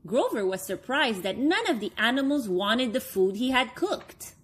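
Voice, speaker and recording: A woman in her thirties reading a children's storybook aloud, expressively and with deliberate phrasing for young listeners. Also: fairly high pitch, very animated, husky. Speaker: story time reader for children.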